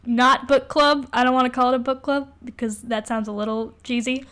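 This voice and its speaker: A woman's voice: sits high, steady tone, brisk, fairly bright tone, clean tone. A woman co-hosting a podcast in casual comedic banter, sarcastic and self-deprecating, happy to swear. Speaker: podcast co-host.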